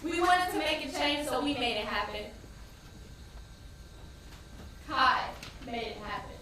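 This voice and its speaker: A woman's voice: high voice, lively intonation. An actress playing a grieving, fiercely loyal woman in an impassioned, anguished theatrical monologue. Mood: wistful, subdued. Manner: impassioned, anguished, drawn-out emphatic cries. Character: grieving, fiercely loyal woman mourning her slain man. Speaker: stage actress. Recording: distant, echoey stage recording with noise.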